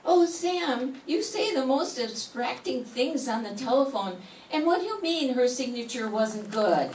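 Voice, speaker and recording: A woman's voice: sits high, expressive, a little slow, darkish tone. An older woman voicing a chirpy, teasing female secretary to a detective in an amateur 1940s-style radio play. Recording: echoey room from far off, faint hiss.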